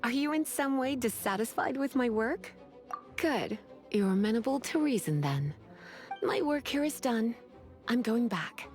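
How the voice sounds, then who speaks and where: A woman playing a teasing, quietly menacing young witch librarian in a video game, composed and condescending. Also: fairly high pitch, lively intonation, a little slow. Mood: haughty. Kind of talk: video game character acting. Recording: game music behind. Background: game music.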